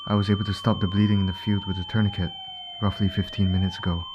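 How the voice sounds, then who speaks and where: A man's voice: deep voice, flat delivery, quick pace, muffled recording, very noisy, close to an everyday voice. A man acting a caring field medic in an ASMR roleplay, speaking directly and reassuringly to an injured patient. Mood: brisk, worried. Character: male field medic, calm, caring, urgent under pressure.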